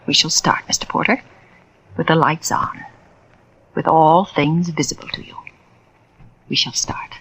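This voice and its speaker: An actress playing a persuasive spiritualist medium who narrates, intimate and theatrical, sometimes dropping to a whisper, in 1940s radio acting. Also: female voice, sits low, steady tone, a little slow, vocal fry, long pauses, fairly bright tone, breathy edge, heavily acted, rough recording. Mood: coaxing.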